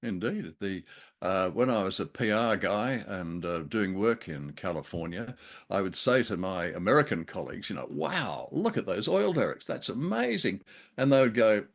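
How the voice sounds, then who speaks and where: A man's voice: on the low side, big pitch swings, normal speed, gravelly. A man co-hosting a radio show, reviewing drama casually in a wry, playful way with wordy turns of phrase.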